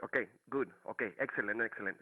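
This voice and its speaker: A man's voice: fairly low pitch, fast, husky, narrow, tinny audio. A man from Mexico with a Mexican Spanish accent, calling in to a talk show and searching for words with many restarts. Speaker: caller. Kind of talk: casual call-in chat.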